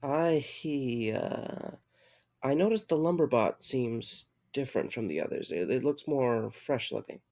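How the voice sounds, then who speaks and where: A man playing an awkward, weary young man in an audio drama, with a halting, stammering delivery and a creaky voice. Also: medium pitch, expressive, a little mellow. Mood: hesitant.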